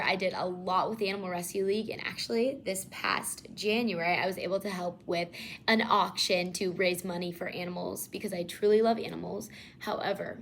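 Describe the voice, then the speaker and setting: A woman's voice: medium pitch, fairly bright tone, slight background noise. A teenage woman from Iowa hosting her own podcast, telling her personal story in an earnest, heartfelt way, sometimes correcting herself. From Iowa, USA. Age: teens. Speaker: podcast host.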